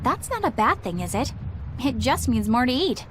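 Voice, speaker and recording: A prim, high-voiced young lady. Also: moderate pace, noisy background. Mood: earnest. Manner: proper, expressive. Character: prim, polite, earnest young woman. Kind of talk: English anime game dub acting.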